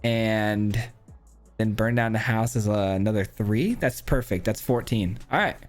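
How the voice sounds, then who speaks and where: A man with a hoarse, cracking voice gives casual commentary on his own card game, counting aloud and thinking through his plays. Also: fairly low pitch, unhurried, soft background music. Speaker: gameplay streamer. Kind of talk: casual live gameplay commentary.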